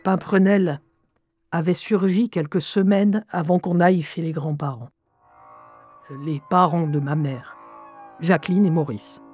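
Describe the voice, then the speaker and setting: A woman playing a wry young adult who narrates her memories in an audio drama, confiding and deliberate, with dry irony. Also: on the low side, mellow, faint music behind, lightly acted. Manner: deliberate, confiding, dryly ironic. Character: wry, introspective young woman recalling her troubled childhood. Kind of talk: scripted first-person audio drama narration.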